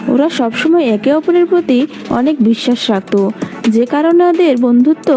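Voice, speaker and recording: High-voiced woman storyteller. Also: moderate pace, mellow. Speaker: storyteller narrator. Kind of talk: scripted children's fable narration. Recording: music bed behind the voice.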